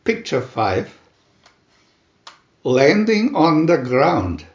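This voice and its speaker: A man reading a comic adventure booklet aloud as a dramatic narrator, announcing each part with theatrical pauses. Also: fairly high pitch, sing-song, reverberant, highs cut off.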